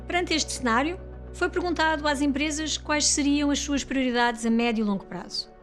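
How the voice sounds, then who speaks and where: A woman's voice: sits high, moderate pace. A woman with a European Portuguese accent reads a scripted corporate report as narrator. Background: music.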